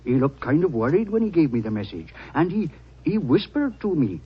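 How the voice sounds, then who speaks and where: A deferential gardener in a 1940s radio play. His voice is husky and lively in its rise and fall. The folksy, respectful talk comes through a dull vintage recording. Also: fairly high pitch, a little fast, full character voice. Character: humble, deferential old country gardener, an English servant.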